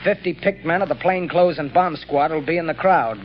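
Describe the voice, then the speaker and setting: A man plays a dutiful police officer in an old radio drama. He reports rapidly and formally in a slightly high voice. The recording is dull and a little noisy. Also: natural voice. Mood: businesslike. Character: brisk, dutiful male police subordinate. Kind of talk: 1940s radio drama acting.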